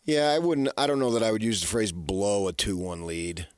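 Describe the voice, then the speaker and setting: A man with an American accent and a notably low voice co-hosts a sports discussion. He talks casually, feeling his way through halting, reworked phrases. The sound is close and dry. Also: smooth, no room sound. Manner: hesitant, halting phrasing with self-corrections. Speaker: sports radio co-host.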